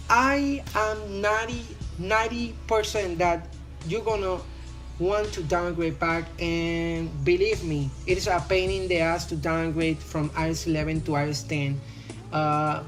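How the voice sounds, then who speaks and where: Man with a Spanish accent. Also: a little high. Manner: rambling, filler-heavy, repeats "you know". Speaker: tech YouTuber. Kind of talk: casual phone review voice-over.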